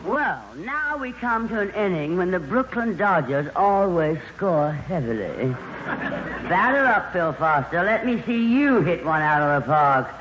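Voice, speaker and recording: A man's voice: high voice, big pitch swings, unhurried. A man hosting a 1950s radio variety show with playful, teasing showman banter. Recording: muffled, noisy old radio broadcast.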